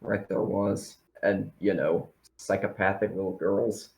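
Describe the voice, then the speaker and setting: A man playing a practical, steady spaceship crew member with casual, matter-of-fact phrasing in a tabletop game. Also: lowish voice, unhurried, vocal fry. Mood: wary, questioning. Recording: echoey room.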